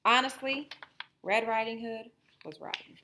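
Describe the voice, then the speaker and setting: A woman's voice: on the high side, steady tone, measured pace, sharp tone, slight background noise. A woman reading a storybook aloud as a teacher would, expressively, with brief asides to her listeners.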